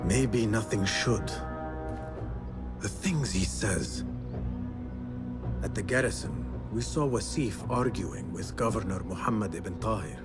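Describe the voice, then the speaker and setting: An actor playing an earnest, determined young assassin in a game cutscene. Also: male voice, fairly low pitch, takes it slowly, long pauses, over music. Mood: grave.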